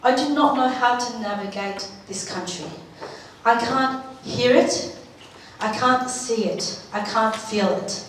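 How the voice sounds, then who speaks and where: A woman from Turkey speaks to a conference in a fairly high voice. Her delivery is informal, expressive and heartfelt, like personal storytelling. The sound is distant and heavily echoing, with faint noise. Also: takes it slowly. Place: large echoey room at a live event. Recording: echoey hall, distant mic, faint noise.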